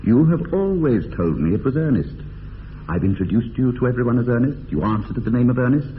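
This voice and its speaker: An actor playing an idle, witty, teasing young upper-class English bachelor in a radio comedy of manners, with arch, polished phrasing. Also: male voice, medium pitch, animated, brisk, close to an everyday voice. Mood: amused, probing. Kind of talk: radio drama acting of period comedy. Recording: tinny band-limited radio, long reverb, hiss.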